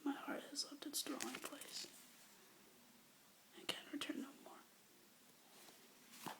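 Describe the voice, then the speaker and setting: A young woman reads love poems in a soft, breathy whisper, her voice pitched a little high and catching in a gravelly fry. Between poems she rambles hesitantly, recording close to a phone or webcam. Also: fairly even intonation, slow pace. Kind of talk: whispered poetry reading, ASMR-like.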